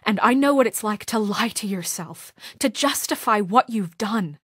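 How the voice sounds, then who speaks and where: A woman's voice: medium pitch, lively intonation, moderate pace, fairly bright tone, slightly rough, dry recording, studio quality. A woman playing a principled young deputy mayor in a story video game, speaking with earnest, firm exasperation in naturalistic dramatic dialogue. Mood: earnest, pleading.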